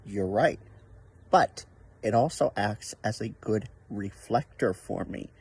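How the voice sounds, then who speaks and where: American man vlogging outdoors, slightly husky. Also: on the low side, slow, darkish tone. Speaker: vlog host and amateur radio operator. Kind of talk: casual outdoor vlog talk and radio calls. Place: outdoors in a park by a baseball field. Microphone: camera without external microphone.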